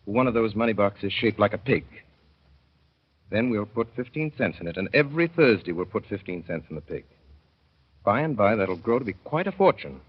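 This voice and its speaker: A man narrating a 1930s radio drama as a father, in a wry, mournful storytelling manner that slows to dwell on sad images. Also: fairly low pitch, very animated, husky. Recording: dull old radio broadcast.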